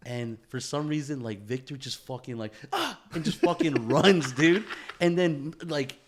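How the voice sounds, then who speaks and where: A man chatting casually as a podcast host, telling stories in an animated, slangy way and swearing freely. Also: on the high side, a little slow. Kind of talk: casual chat, storytelling.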